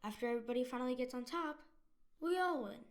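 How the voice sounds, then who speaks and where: A woman playing a bright, polite young boy in an audio drama, speaking in an earnest, plain way. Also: high-pitched, lively intonation, quick pace. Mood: eager.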